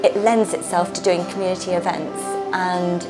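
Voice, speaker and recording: Woman co-founder, enthusiastic and chatty, slightly low voice, music underneath. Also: steady tone, normal speed. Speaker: brewery co-founder. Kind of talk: voice-over interview.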